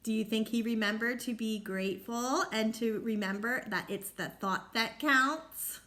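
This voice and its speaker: High, bright-voiced woman reading to children. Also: a little slow. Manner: instructive, asks listeners questions. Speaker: teacher reading aloud to children. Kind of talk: picture-book read-aloud with explanations.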